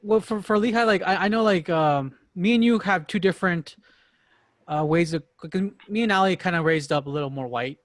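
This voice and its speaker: A man's voice: high voice, brisk, no echo. A young man born in Peru co-hosting a podcast, laid-back and joking in casual talk. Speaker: podcast co-host. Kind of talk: casual conversation.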